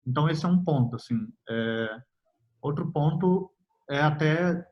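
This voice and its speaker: A young man, a software developer talking casually in an interview, relaxed and full of fillers, laughing easily. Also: a little high, measured pace. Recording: slightly muffled audio.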